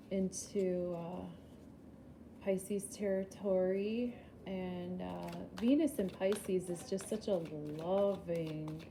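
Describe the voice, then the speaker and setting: A woman's voice: on the low side, noisy background. A woman giving a casual, chatty tarot reading, rambling and laughing at herself, a little groggy after a poor night's sleep. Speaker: tarot reader.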